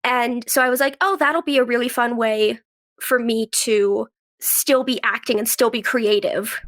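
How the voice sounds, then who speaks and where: A young woman with a fairly high voice, speaking while she has a cold. She talks in a casual, conversational interview style, dropping "like" often into her sentences as she explains things. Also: normal speed, a bit bright. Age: 20s. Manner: youthful, conversational, peppers talk with "like". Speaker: guest, actress and writer.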